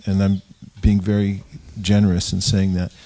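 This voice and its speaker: A man with a fairly low voice takes part in a spiritual discussion as a teacher. He explains his observations informally, feeling his way through sentences in a reflective, halting manner. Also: completely flat delivery, warm tone. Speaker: religious teacher in a group discussion.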